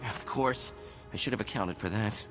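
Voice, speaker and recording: Man doing a snooty defensive scientist guy for a video game, formal wording, loud music behind. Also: on the low side, moderate pace, close to an everyday voice. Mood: proud, resigned. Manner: haughty, formal, stammers when flustered. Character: brilliant, arrogant male droid scientist, defensive and unrepentant.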